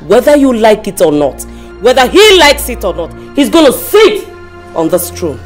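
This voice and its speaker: A woman's voice: on the high side, big pitch swings, normal speed, faint music behind. An imperious palace queen. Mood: commanding. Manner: commanding, insistent, repeating demands. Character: imperious royal woman backing the missing heir.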